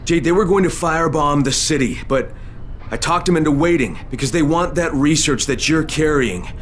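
A man's voice: a little high, moderate pace, fairly bright tone, natural voice. An actor playing a determined male agent in a zombie-filled city in a video game. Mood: pleading. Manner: urgent, earnest. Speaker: protagonist. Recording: game dialogue with clear background noise.

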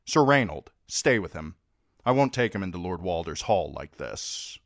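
A young king who gives orders in a firm, steady voice, as a man puts him on. The voice is deep and dips and rises a lot as he speaks. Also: normal speed, creaky, no echo, studio quality, heavily acted. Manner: firm, commanding.

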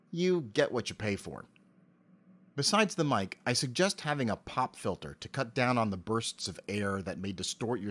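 A middle-aged man speaks as an interview guest in a medium-pitched voice. He is articulate and measured, and his answers sound prepared. He talks into a filtered cardioid mic in a home office. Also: a bit bright. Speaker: interview guest, amateur voice actor. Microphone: cardioid dynamic mic with pop filter, on a stand.

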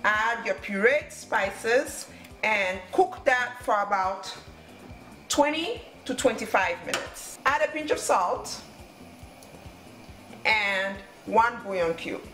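A woman's voice: mid-range voice, expressive, slow pace, fairly bright tone. A woman hosting a cooking show, giving step-by-step instructions in short phrases. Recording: music under the voice.

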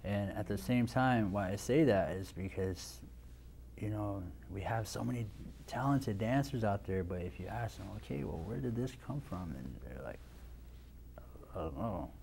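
A man's voice: fairly low pitch, lively intonation, moderate pace, gravelly, darkish tone, a little hiss or hum. A man in his thirties from Nebraska, a dance instructor and guest on a studio talk show, talking casually with lots of restarts and "you know" into a lapel mic. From Nebraska, USA. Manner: easygoing, rambling with many restarts and "you know". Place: TV studio set, indoors.

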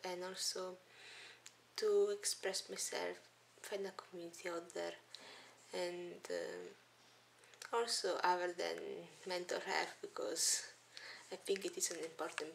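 A woman's voice: lowish voice, fairly even intonation, measured pace, gravelly, a little hiss or hum. A teenage girl from Italy with an Italian accent, talking hesitantly with many ums into a webcam in a small room. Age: teens. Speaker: vlogger. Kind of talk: casual intro vlog to webcam. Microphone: webcam at arm's length.

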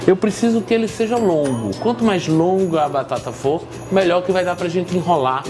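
A man's voice: high-pitched, expressive, normal speed, music in the background. A middle-aged man, a chef and TV presenter, chatting at length in an interview in a restaurant and then explaining a recipe step by step in a kitchen, on a lapel mic. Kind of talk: interview then cooking demonstration. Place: small restaurant dining room, then kitchen.

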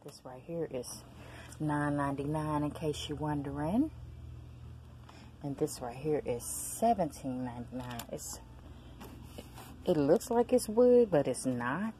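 Lady w a low voice doing a shop-with-me vlog on her phone, chatty and excited, quiet store hum behind. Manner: chatty, excited exclamations, addresses viewers as y'all and girl. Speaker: vlogger. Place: large discount store aisles, indoors. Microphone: handheld phone camera.

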